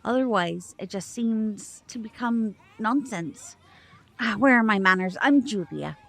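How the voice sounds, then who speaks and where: A woman acting a protective magician mother in an audio drama, polite and measured, with a suspicious edge. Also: fairly high pitch, animated, creaky, full character voice. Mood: gracious, probing.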